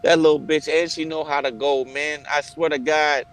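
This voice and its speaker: A man in casual group chat, telling stories in a profane, animated way. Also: fairly high pitch, normal speed, slight background noise. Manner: profane, animated storytelling with frequent laughs. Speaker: participant in an online hangout.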